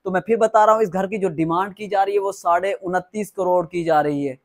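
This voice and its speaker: A man's voice: high-pitched, brisk. A man with a Pakistani accent presenting a house tour enthusiastically, with effusive, repetitive salesman patter. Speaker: property tour host.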